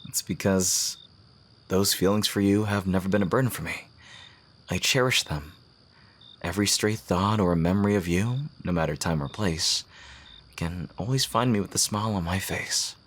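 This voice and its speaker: A man playing a devoted fantasy rival confessing love in a romantic audio roleplay, speaking in an earnest, courtly, poetic way with soft hesitant pauses and a husky, breathy voice. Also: low-pitched, slight background noise. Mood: tender, devoted. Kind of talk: romantic ASMR audio roleplay, intimate acting.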